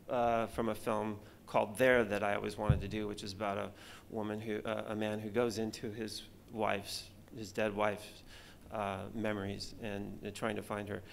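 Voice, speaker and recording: Middle-aged man, film director on a panel; gravelly, halting, restarts often, speaks into a table mic. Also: lowish voice, slight background noise. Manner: halting, many fillers and restarts. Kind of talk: conference panel talk. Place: conference stage, seated at panel table. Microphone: table mic on stand.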